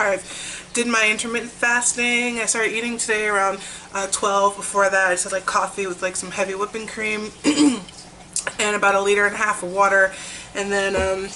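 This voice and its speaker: A woman's voice: mid-range voice, sharp tone, a little hiss or hum, poor audio. A young woman chatting casually in a vlog, upbeat and talkative, while she is losing her voice. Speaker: vlogger. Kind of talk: casual weight-loss vlog.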